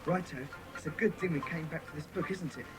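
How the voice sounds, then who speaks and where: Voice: mid-range voice, fairly even intonation, fast talker, music in the background, close to an everyday voice. An actor playing an eager, persistent British boy from a children's secret club in an audio drama.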